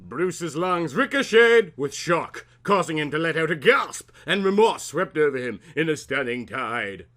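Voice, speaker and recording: A man's voice: a little high, sing-song, a little slow, few pauses, bright, forceful tone, soft background music. A man narrating a comic story in a theatrical, mock-serious audiobook style.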